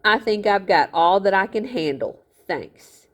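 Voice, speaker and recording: A woman as a wary, stubborn boy, slow-spoken. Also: medium pitch, expressive, close to an everyday voice. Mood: defiant.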